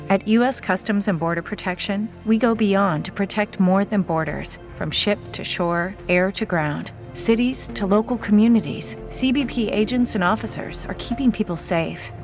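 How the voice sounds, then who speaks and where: A woman reading a recruitment ad voice-over in an earnest, steady way. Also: mid-range voice, normal speed, hardly any pauses. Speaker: ad announcer. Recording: music behind.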